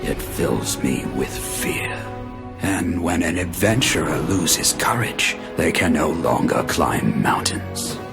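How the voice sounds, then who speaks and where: Game role: dying old explorer, low and measured, sweeping solemn delivery, loud music beneath. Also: male voice, animated, gravelly, heavily acted. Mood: solemn, regretful. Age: older. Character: aged male adventurer near death, wistful but resolute. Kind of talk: dramatic monologue for a video game.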